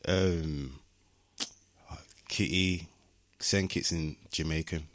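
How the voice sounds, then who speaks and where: A man with an exceptionally deep voice and a Birmingham accent speaks as a guest in a casual interview. His talk is reflective and slangy, captured in a dry, close sound. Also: steady tone, drawn-out words, a bit bright, no room sound. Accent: Birmingham English. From Birmingham, England. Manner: reflective, philosophical, uses slang and tag questions like "you know what I mean".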